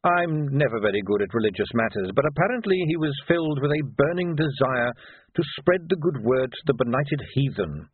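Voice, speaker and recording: A man voicing a composed, coolly formal aristocratic ruler in an audiobook, measured and subtly ironic. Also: mid-range voice, lively intonation, breathy edge, no echo, narrow, tinny audio, voice pushed up. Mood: smooth, ironic.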